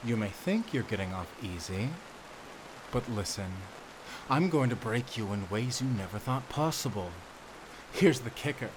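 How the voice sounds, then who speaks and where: A man's voice: mid-range voice, slight background noise, natural voice, low-quality recording. A man playing a cruel, gloating prison warden in an audio drama, drawling and mocking his captives. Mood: gloating menace. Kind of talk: audio roleplay drama acting.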